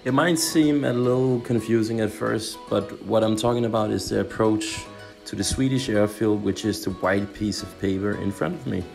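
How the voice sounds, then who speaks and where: Low, gravelly Danish student pilot. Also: male voice, normal speed, darkish tone, soft background music. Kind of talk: explanatory voice-over narration.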